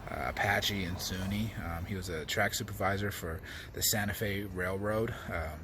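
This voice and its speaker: An American man telling reflective personal stories as a storyteller, speaking haltingly and often repeating words as he searches for the next phrase. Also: low voice, noisy background. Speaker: comedian and storyteller.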